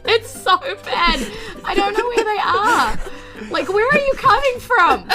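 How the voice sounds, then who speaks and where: A woman with a New Zealand accent, chatting as host and game master of a live tabletop show in a bubbly, expressive way. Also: very high-pitched, slow pace, over music.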